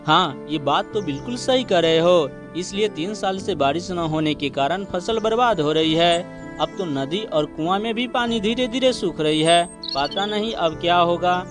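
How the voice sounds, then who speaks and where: A man's voice: high voice, moderate pace, music in the background, close to an everyday voice. A man playing a poor, hardworking village farmer and husband, earnest and plain-spoken, in a moral story cartoon. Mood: resigned.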